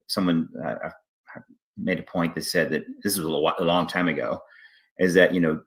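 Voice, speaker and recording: A man hosting a casual interview, speaking haltingly and often restarting his sentences. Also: low voice, a bit bright. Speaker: interview host, theater artistic director.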